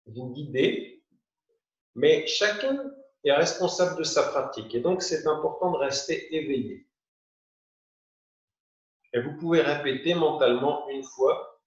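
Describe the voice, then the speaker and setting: A man teaching yoga nidra, guiding a meditation in short, unhurried phrases with pauses. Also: a little high. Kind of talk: guided meditation, spoken instructions. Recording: muffled, roomy, distant from the mic.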